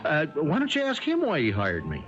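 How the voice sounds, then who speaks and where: A man's voice: a little high, wildly swooping pitch, a little fast, a bit bright. An actor in a 1970s radio drama playing an evasive, testy man with something to hide, stammering defensively. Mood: irritated, evasive. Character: evasive, testy man hiding his identity. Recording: dull 1970s radio broadcast, faint music.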